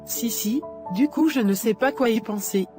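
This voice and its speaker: A woman playing an earnest, discreet wife in a cartoon moral drama, speaking in a measured way. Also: a little high, lightly acted. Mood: guarded. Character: earnest, discreet married woman, anxious and sincere. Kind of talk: animated moral drama dialogue. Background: music.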